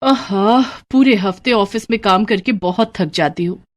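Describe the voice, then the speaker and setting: A woman's voice: a little slow, natural voice. Cartoon lady of the house: pampered, demanding, complaining, a woman plays her. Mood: whiny. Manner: whiny, pampered, higher voice.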